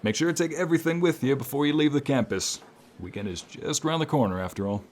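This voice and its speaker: A man playing a caring university professor in an ASMR roleplay, speaking in a calm, reassuring and gentle manner. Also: on the high side, fast, close to an everyday voice. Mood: addressing the class. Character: caring male university professor comforting a burnt-out student. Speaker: voice actor.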